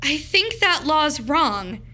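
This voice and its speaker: Woman voicing an earnest, high-voiced little schoolgirl. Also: sing-song, pushed and bright, exaggerated voice. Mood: timid, earnest. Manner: halting, tentative. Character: earnest second-grade girl with a small unsure voice.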